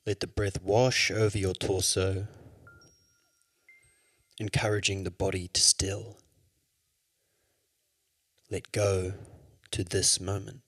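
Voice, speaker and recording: A man leading a guided yoga nidra meditation as an instructor, with soothing, measured instructions. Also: sits low, little pitch movement, fairly bright tone, dry recording.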